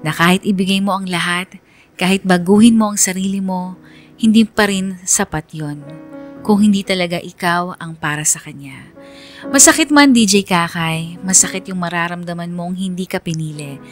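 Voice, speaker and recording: A woman's voice: on the low side, normal speed, faint music behind, lightly acted. A woman playing a heartbroken young woman who narrates her story sincerely, as a confession in a radio drama. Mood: sorrowful reflection. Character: heartbroken young woman letter-sender, reflective and accepting.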